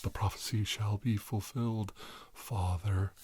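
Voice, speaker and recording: A husky, low-voiced alien-hybrid child played by a man speaks with solemn ceremony. Each line lands as a grave prophecy in a comic monologue. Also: fairly even intonation, measured pace, dark tone. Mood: solemn, ominous.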